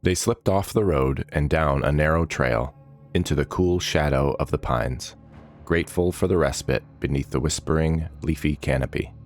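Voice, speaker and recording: Very deep-voiced male fantasy narrator. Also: normal speed. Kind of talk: scripted fantasy story narration.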